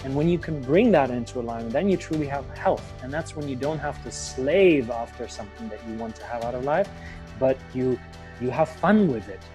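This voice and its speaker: A man's voice: mid-range voice, a little fast. Enthusiastic German man with faint music. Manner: energetic, enthusiastic, many fillers. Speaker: guest, life coach. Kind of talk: interview answers.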